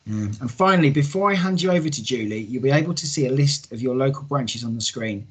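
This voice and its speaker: A man's voice: mid-range voice, breathy edge. A man hosting a virtual holiday show, reading a prepared welcome and introduction. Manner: reads a prepared script. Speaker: travel company host.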